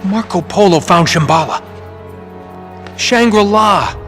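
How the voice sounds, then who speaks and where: A man playing a wry, quick-witted treasure hunter in a video game cutscene, casual in manner. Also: high voice, animated, a bit bright, faint music behind, exaggerated voice. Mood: awed, excited.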